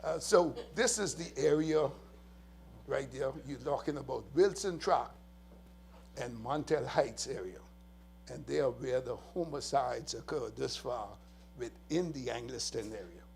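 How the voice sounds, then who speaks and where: A man's voice: mid-range voice, measured pace, gravelly, a little mellow, slight background noise. A Bahamian man, a police official, giving an earnest, emphatic press briefing as he walks through slides. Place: press conference room.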